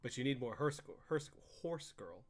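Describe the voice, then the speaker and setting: A man's voice: medium pitch, expressive, moderate pace. A man chatting casually about games as a co-host on a live show. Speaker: co-host of a gaming radio show. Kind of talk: casual gaming banter.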